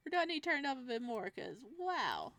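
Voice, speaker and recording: A woman hosting a game read-through, switching between narrating a visual novel and casual, excitable, giggly commentary. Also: sits high, normal speed. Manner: excitable, giggly, reacts to the story. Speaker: gaming channel host.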